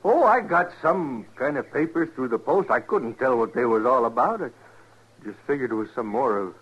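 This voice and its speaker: An actor playing a stubborn, aggrieved homesteader with a husky voice and rural American accent in radio western style. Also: male voice, mid-range voice, lively intonation, moderate pace. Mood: indignant. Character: aggrieved, stubborn homesteading farmer, plain and proud. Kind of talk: 1950s radio western acting. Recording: dull vintage radio recording.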